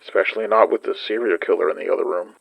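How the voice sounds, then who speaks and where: An actor playing a troubled, worried man trying to keep his cool in an audio drama, with a gravelly voice. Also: sits low, steady tone, fast talker, muffled recording, heavily acted. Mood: weary, concerned.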